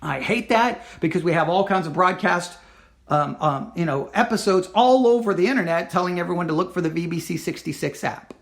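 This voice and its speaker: A man hosting a casual solo podcast, hoarse from losing his voice, rambling and correcting himself as he earnestly pleads with listeners. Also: high-pitched, lively intonation, brisk. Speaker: podcast host.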